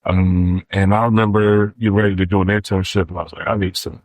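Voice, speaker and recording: A man in his forties with a lowish voice spins a loose, laid-back story on a podcast. The recording is dry and close. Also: a little fast, no echo. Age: 40s. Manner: relaxed, rambling, says "bro". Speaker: podcast co-host. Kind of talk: casual storytelling chat.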